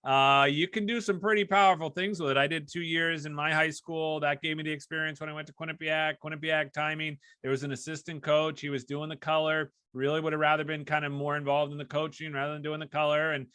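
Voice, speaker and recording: A man's voice: a little high, level tone, quick pace, few pauses, sharp tone, clean tone, no echo. A man hosting a casual interview, chatty and fond of telling anecdotes as a fellow sports broadcaster. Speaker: podcast host and hockey broadcaster.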